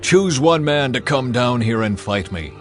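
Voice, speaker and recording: A man voicing a boastful giant warrior champion, calling out loud taunts with defiance. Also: mid-range voice, lively intonation, unhurried, close to an everyday voice. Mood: defiant.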